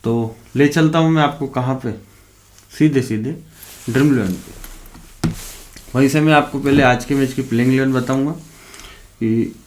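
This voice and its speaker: A man's voice: medium pitch, unhurried, long pauses, a little mellow, slight background noise. A man with a sore throat and a cough, talking casually straight to his viewers as a fantasy cricket tipster, calling them brothers and checking in with "okay" again and again. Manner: chatty, keeps addressing viewers as brothers, repeats "okay". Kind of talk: casual direct-to-camera vlog.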